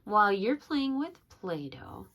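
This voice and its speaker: A woman reading aloud to young children as a preschool storytime host, expressive and often putting questions to her listeners. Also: medium pitch, slow pace.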